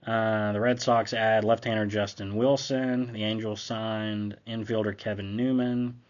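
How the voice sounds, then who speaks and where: A man's voice: fairly low pitch, highs cut off. A young man with a slight cold hosting his own channel, giving an offhand, conversational sports recap as he reads down a list. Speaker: host. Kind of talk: casual sports recap commentary.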